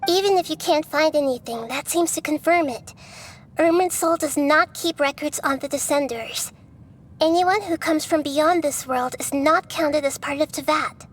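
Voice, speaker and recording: A woman voicing a small, wise, gentle young goddess in a video game, with a soft, measured way of speaking. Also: high-pitched, close to an everyday voice. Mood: calm. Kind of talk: video game cutscene dialogue.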